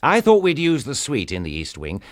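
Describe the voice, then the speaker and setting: A man playing a well-bred husband and estate owner in a radio drama, with an English accent and a brisk, casual manner. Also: mid-range voice, wildly swooping pitch, no room sound, studio quality. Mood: breezy, brisk.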